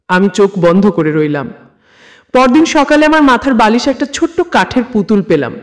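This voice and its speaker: High-pitched man narrating horror. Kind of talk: scripted first-person horror story narration.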